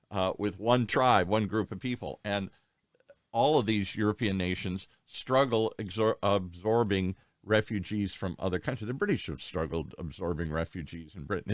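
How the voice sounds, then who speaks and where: Low-voiced rambling male interviewer. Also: dry recording. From United States. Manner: rambling, thinks aloud with many restarts. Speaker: podcast host. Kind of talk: conversational interview.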